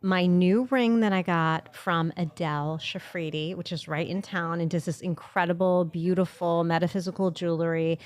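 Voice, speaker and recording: Blunt, low-voiced podcast host woman. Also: steady tone, moderate pace, hardly any pauses. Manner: blunt, swears, jokes and laughs a lot. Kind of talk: casual chat. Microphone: studio mic held off to the side.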